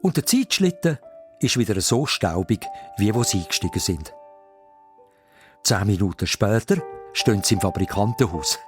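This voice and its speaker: Narrator, kids' audio drama: Swiss man, lower register, lively scripted delivery. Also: normal speed, pauses often, soft background music. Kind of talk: animated scripted story narration for children.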